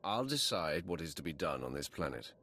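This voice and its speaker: A man's voice: a bass voice, very animated, a little fast, sharp tone, a little hiss or hum, full character voice. A man playing a cold, arrogant Sith warrior in a video game, with a haughty, menacing, terse delivery. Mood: cold defiance.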